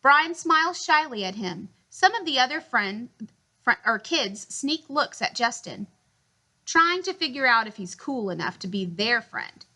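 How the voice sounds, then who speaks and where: A woman reading a children's picture book aloud in an expressive, deliberate storytelling style. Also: fairly high pitch, poor audio.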